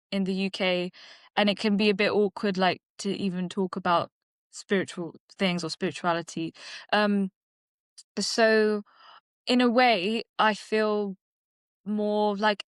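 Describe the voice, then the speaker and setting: A woman talks through her answers in a podcast interview, pausing often and restarting her thoughts. Her voice is smooth and clear, and it is recorded close and dry. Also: medium pitch, no room sound. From United Kingdom. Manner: reflective, many fillers and restarts. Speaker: guest, dentist and singer-songwriter. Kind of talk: conversational interview.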